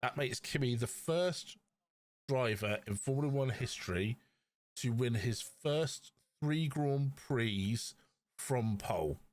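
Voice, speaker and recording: A man's voice: medium pitch, lively intonation, slow pace. Man chatting as a podcast co-host. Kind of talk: casual motorsport discussion.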